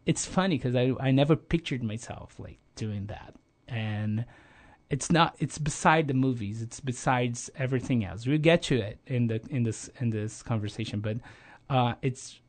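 A man's voice: medium pitch, normal speed. Earnest Brazilian man with fillers. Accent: Brazilian Portuguese. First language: Portuguese. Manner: hesitant, many fillers and restarts, earnest. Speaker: guest. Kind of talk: casual interview conversation.

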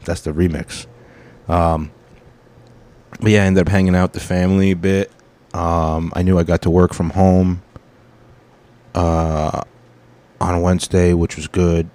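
A man's voice: deep voice, little pitch movement, a little slow, pauses often, darkish tone. A man in his thirties, a comedian hosting his own podcast, rambling through his week in a casual, profane, self-correcting monologue.

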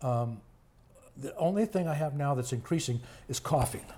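Older grandfather, hoarse and mellow, unhurried and halting in relaxed conversation. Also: mid-range voice. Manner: slow, halting, many fillers. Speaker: grandfather being interviewed. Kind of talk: casual family interview.

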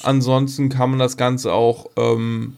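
A man's voice: medium pitch, little pitch movement, slow, vocal fry, a little mellow, smooth. A man chatting casually as a podcast co-host, rambling informally and talking straight to the listeners.

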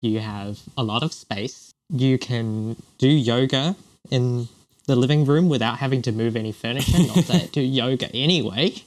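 A man's voice: medium pitch, normal speed. Wry young man from Hong Kong. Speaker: podcast co-host. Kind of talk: casual chat.

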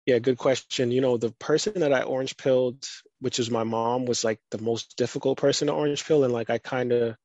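A man in a casual podcast conversation, talking in a relaxed, rambling way with lots of fillers. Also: mid-range voice, brisk, no room sound, dull audio. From United States. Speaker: guest.

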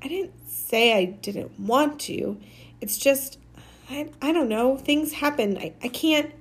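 A woman's voice: high voice, very animated, normal speed, voice pushed up. A woman voicing a reluctant, uneasy boy in a storybook, hesitant and faltering. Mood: flustered.

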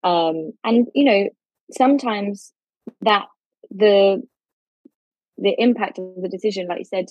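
A woman's voice: medium pitch, a little slow, pauses often, darkish tone, dry recording, lo-fi sound. A woman speaking as a legal expert guest, explaining things informally.

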